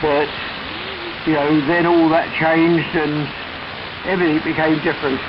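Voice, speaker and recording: A man's voice: a little high, flat delivery, normal speed. An older man chatting casually and reflectively as an amateur radio operator over a shortwave radio transmission. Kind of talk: reminiscing casual radio chat. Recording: muffled shortwave radio with static.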